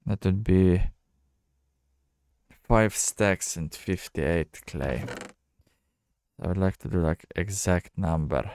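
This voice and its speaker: A man giving casual, low-key game commentary, thinking out loud in halting phrases as he plays. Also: clean tone, no room sound. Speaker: gamer narrating own playthrough.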